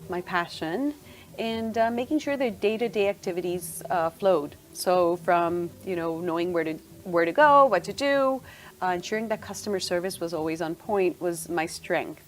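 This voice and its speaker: A middle-aged woman from Venezuela, answering interview questions in a chatty way with frequent fillers and restarts. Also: fairly low pitch. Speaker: guest, senior move manager.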